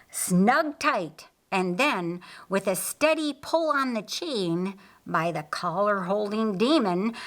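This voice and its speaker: A woman reads a scripted story as an audiobook narrator. Her medium-pitched voice moves slowly, with suspense and dramatic emphasis on the phrases. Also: fairly bright tone.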